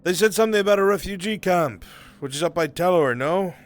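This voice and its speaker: A man playing a composed, teasing, calculating fantasy killer and lover in improvised online roleplay, with measured, precise wording. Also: high-pitched, lively intonation.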